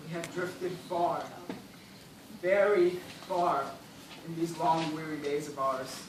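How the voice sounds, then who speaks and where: An actor playing a weary, formal gentleman castaway in an amateur stage play, declaiming with stubborn reason and despair. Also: on the high side, fairly even intonation, takes it slowly. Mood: grim, reasoning. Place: theatre stage, recorded from audience. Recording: echoey stage, distant mic, noisy.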